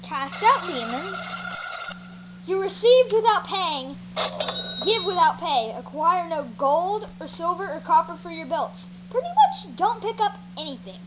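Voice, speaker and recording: A woman's voice: extremely high pitch, big pitch swings, unhurried, background noise, exaggerated voice. A woman playing Jesus as a friendly teacher in an amateur Bible audio drama, reciting scripture with chatty asides. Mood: instructing. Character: Jesus, a male teacher, friendly and jokey with disciples.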